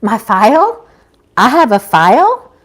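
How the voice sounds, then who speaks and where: A woman's voice: on the high side, huge pitch swings, measured pace, a bit bright, breathy edge, full character voice. A woman reading a story, voicing a sassy, defiant young woman with a catty, indignant manner. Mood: catty, incredulous. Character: sassy, defiant young woman, newly dead angel recruit. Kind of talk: live audiobook-style reading.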